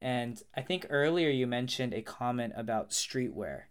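A man co-hosting a casual podcast, talking in a relaxed, conversational way. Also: mid-range voice, normal speed, clear, resonant tone. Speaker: podcast co-host.

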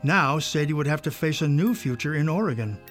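A man's voice: a little high, lively intonation, fairly quick, fairly bright tone, soft background music. A man narrating a scripted children's story.

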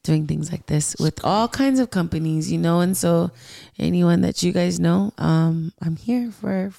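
A woman's voice: lowish voice, a little slow, creaky, warm tone, no echo, clean studio sound. A woman guest on a podcast, chatting in an effusive, rambling way with many fillers. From Southern California. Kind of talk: casual podcast chat. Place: podcast studio.

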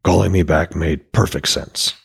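Commanding, resolute Allfather of myth, read by a man: deep, husky, grave authority. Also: fairly even intonation, normal speed, exaggerated voice. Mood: measured. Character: commanding Allfather god, resolute husband and father.

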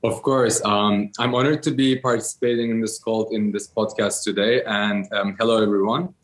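Man, 30s, from Turkey, low voice, hesitant interview guest, lots of ums and self-corrections. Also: steady tone, moderate pace. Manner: hesitant, many ums and restarts. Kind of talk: casual interview answers.

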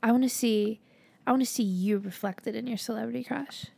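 Hoarse young woman, giggly and rambling. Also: on the high side, fairly quick, a little mellow, no room sound. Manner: giggly, rambling with many fillers. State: hoarse, losing her voice. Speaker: podcast co-host. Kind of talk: casual chat.

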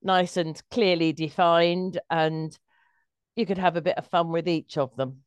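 A woman chats casually as a podcast guest. Her voice is on the low side, recorded very dry. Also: fairly even intonation, a little slow, clean tone, dry recording, muffled recording. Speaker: guest reviewer, performer. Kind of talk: casual chat about a play.

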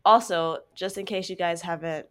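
A woman with a cold, her voice stuffy and a little lower than most. She chats casually as a podcast co-host, telling stories and filling her talk with "like". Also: steady tone, normal speed, smooth. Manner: chatty, storytelling, leans on "like" a lot. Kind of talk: casual chat.

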